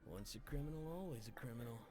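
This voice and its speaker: A man's voice: mid-range voice, expressive, fast talker, darkish tone, soft background music, close to an everyday voice. An actor voicing a cynical, bitter male convict in a video game, with a scornful, sneering manner.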